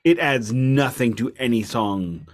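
A man's voice: mid-range voice, expressive, unhurried. A man with an American accent chatting casually about music as a podcast co-host, in a wry, jokey manner. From United States. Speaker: podcast co-host reviewing albums. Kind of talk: casual music review chat.

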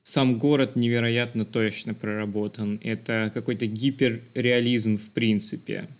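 A man's voice: medium pitch, steady tone, a little slow. A man co-hosting a podcast, discussing film in a thoughtful, explanatory conversational way. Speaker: podcast co-host.

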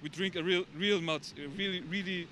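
Halting Austrian man with German accent. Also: high voice, steady tone, bright, forceful tone, a little hiss or hum. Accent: German-speaking Austrian. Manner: halting, searching for English words. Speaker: interviewee on the street. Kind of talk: casual street interview. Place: outdoors on a city street.

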